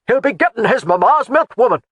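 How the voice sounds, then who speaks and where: The audiobook narrator voicing a gruff old male Highland chieftain who roars every word. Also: moderate pace, no echo, lightly acted. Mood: roaring. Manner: roaring, shouting every word.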